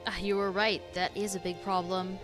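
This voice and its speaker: A woman streamer giving casual, excitable reaction commentary, talking back to the game she is playing. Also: medium pitch, moderate pace. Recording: game music behind the voice.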